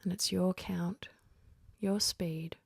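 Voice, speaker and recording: A woman guides a sleep meditation in a lowish voice that is very breathy and close to a whisper. She speaks very slowly and gently, letting each phrase settle before the next. The sound is dry and intimate, with no room around it. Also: fairly even intonation, dry recording. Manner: gentle, unhurried, with long pauses between phrases.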